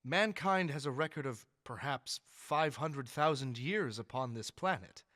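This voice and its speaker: A man playing a dignified, deferential astronomer in a radio drama, speaking in a formal, measured, lecturing way. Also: medium pitch, expressive, fairly bright tone, dry recording, studio quality. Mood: earnest, assured. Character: dignified male astronomer, learned, earnest, deferential yet sure.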